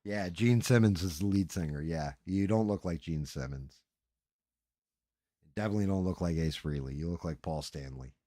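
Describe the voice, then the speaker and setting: A man's voice: low-pitched, moderate pace, dark tone, no room sound, studio quality. A middle-aged man chatting casually as a livestream host, crude and joking in a rambling, sweary way.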